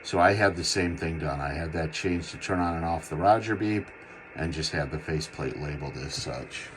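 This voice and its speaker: A man's voice: deep voice, moderate pace, a bit bright, slight background noise. A man giving a casual, plain-spoken product demo in the style of a seller's vlog. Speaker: radio seller demonstrating gear. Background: CB radio running in the background.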